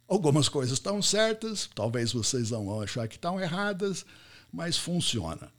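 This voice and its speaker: A man's voice: fairly high pitch, big pitch swings, normal speed. A middle-aged American man, a native English speaker, speaking Portuguese in a live online talk and telling anecdotes with repeated words for effect. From United States. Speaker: event speaker.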